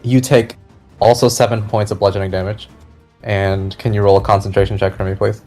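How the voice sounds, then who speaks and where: A man narrating a fantasy tabletop game as game master, describing scenes deliberately and with suspense. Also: on the low side, level tone, dark tone. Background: background music.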